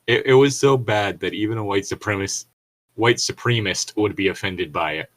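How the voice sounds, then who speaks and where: A man co-hosting a podcast, reading aloud with casual, joking commentary in a rambling, nitpicking way as he thinks out loud. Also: fairly low pitch, brisk. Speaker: podcast co-host.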